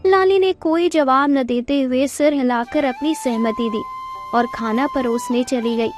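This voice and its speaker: High-pitched woman narrating a story. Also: a little slow, over music. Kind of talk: scripted Hindi story narration.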